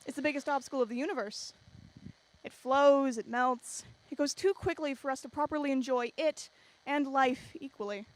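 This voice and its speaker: A woman's voice: sits high, moderate pace, lightly acted. A woman playing a wry, playful storyteller who introduces a science fiction radio play straight to the listener. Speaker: narrator introducing an anthology play. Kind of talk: scripted radio drama narration.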